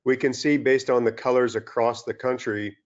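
A middle-aged man from Western Canada giving a relaxed webinar lecture over slides from a small room, with many fillers and restarts. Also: medium pitch, normal speed. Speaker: turfgrass scientist presenting a webinar. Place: small indoor room, video call. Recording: dull lo-fi video-call audio.

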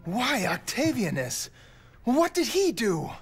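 Casting: urgent, troubled Roman fighter, game drama, slow but animated lines. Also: male voice, very high-pitched, background noise, exaggerated voice. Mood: worried, angry. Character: intense, worried Roman warrior man. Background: loud music.

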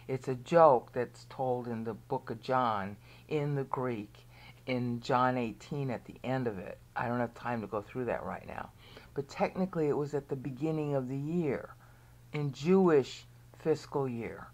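A woman's voice: very deep voice, measured pace, darkish tone. A woman with an American accent giving a rambling Bible lesson over a screen share. She often checks in with "okay" and sometimes sinks to a near whisper. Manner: drops to a near whisper at times. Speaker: Bible teacher.

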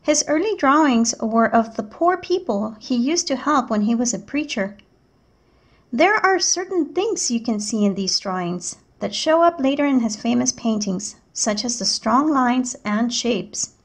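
A woman reading a picture book aloud as a teacher, in measured, clearly enunciated storytelling narration. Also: on the high side, animated.

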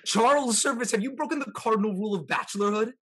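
A young man playing a boisterous, teasing gentleman drinker with a husky voice in a classical stage comedy. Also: high voice, fast talker. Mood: mock shocked. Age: young adult. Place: home room, video call.

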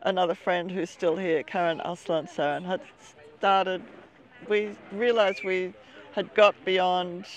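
An older woman, chatting as an interview guest at an outdoor seaside café, recorded on a lapel mic. She rambles a little and laughs often. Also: on the low side. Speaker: interview guest, expat community organizer. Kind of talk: casual interview chat.

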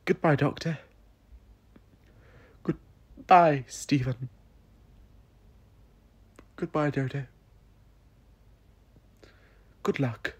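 A man voicing a halting, grieving young woman. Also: medium pitch, husky, voice pushed up. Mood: sad farewell. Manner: halting, emotional, tearful delivery. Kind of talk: audio drama dialogue.

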